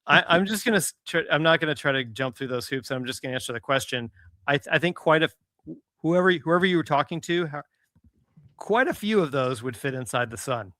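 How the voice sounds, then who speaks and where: A man with a bright, fairly high voice co-hosts casual comedy chat. He is mock-earnest and stop-start, with very lively intonation and a dry, close sound. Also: fairly quick, no room sound. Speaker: podcast co-host.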